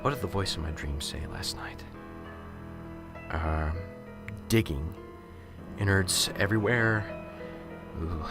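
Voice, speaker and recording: A man's voice: low voice, takes it slowly, pauses often, loud music behind the voice. A man playing a nervous, unsure professor in an amateur radio drama, muttering anxiously to himself and stammering.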